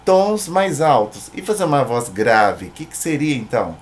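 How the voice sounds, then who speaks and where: A man's voice: medium pitch, very animated, normal speed. Brazilian man giving an informal tutorial. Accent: Brazilian Portuguese. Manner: conversational, checks in with short tag questions. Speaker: singing tutor, singer.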